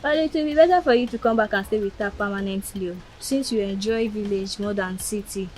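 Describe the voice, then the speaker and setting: A woman's voice: medium pitch, a little fast, darkish tone, faint music behind, lightly acted, poor audio. An actress playing a chatty, teasing city girlfriend.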